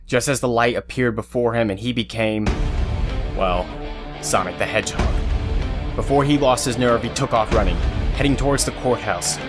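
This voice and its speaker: A man narrates an action story as a scripted audio drama. His voice sits at a middle pitch, with guitar music clearly playing behind it. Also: normal speed.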